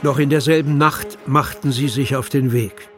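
A man's voice: medium pitch, fairly even intonation, normal speed, loud music behind the voice. A man narrating a children's audio drama in a scripted storybook style.

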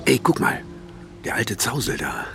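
A man's voice: on the low side, huge pitch swings, unhurried, over music, exaggerated voice. An actor playing an anxious, wry man in his late fifties in a naturalistic radio play, with a hesitant, colloquial, self-mocking delivery. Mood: amused mockery. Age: 50s. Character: anxious, wry 59-year-old man fretting about ageing.

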